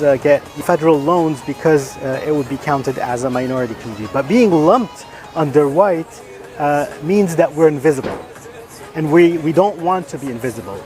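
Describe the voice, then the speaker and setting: A man speaks up for his community in a news interview, earnest and pausing often with fillers. His voice sits a little high for a man. Clear music plays under him. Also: a little mellow. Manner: earnest, hesitant with many fillers.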